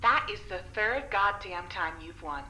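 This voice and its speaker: A woman's voice: medium pitch, animated, normal speed, sharp tone. A woman playing a sardonic, defiant captive in an audio drama, teasing and blunt in manner. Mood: mock outrage. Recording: roomy sound with faint noise.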